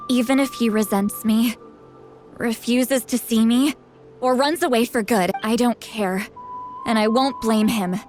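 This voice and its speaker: A woman voicing a proud, sharp-tongued but protective youthful shaman in a video game, with a dramatic manner. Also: on the high side, unhurried, soft background music, lightly acted. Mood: troubled, resolute. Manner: boastful yet self-doubting, dramatic.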